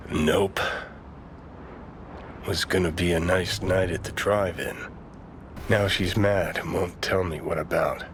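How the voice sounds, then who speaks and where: An actor playing a cynical, brash rebel in a cyberpunk game, with clipped, sardonic delivery over background ambience. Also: male voice, deep voice, steady tone, slow, long pauses. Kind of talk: video game dramatic dialogue acting. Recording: noisy ambience behind the dialogue.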